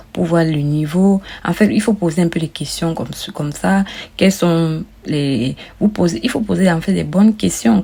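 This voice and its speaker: A woman with a lowish, gravelly, mellow voice talks viewers through an online form. She repeats herself often and calls her audience friends. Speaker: immigration advice vlogger. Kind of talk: casual walkthrough of an application form.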